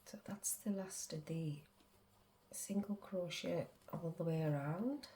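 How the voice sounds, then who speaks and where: Slow, low-voiced congested woman. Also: warm tone, a little hiss or hum. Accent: Northern English. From England. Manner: chatty, talks to herself while working. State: congested with a cold, sniffly. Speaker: crochet tutorial host. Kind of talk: casual crochet demonstration.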